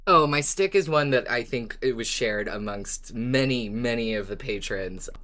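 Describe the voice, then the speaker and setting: A woman's voice: a bass voice, moderate pace, a bit bright. A woman hosting a casual fan discussion about a fiction podcast, speaking enthusiastically and emphatically and swearing casually. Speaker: podcast host.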